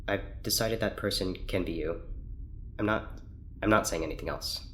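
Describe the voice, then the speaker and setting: A man playing a guarded, formal scientist in an audio drama, speaking in a measured, secretive way. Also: sits low, a bit bright, a little hiss or hum. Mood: guarded, reluctant.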